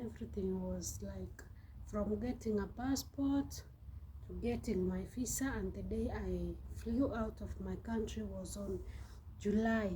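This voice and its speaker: A woman's voice: medium pitch, warm tone, a little hiss or hum, poor audio. A young woman from Kenya chatting casually to her vlog viewers, repeating herself and laughing now and then while she sweats in the heat. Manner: chatty, repeats and restarts phrases, laughs often. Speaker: vlogger. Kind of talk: casual vlog talk to camera. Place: hot room in the Gulf.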